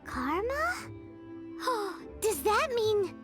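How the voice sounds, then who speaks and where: A woman voicing a tiny, excitable, childlike girl companion in an anime-style video game, squeaky and exclamatory. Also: extremely slow, full character voice. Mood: puzzled, sympathetic. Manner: speaks of self in third person, exclamatory. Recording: loud game music behind.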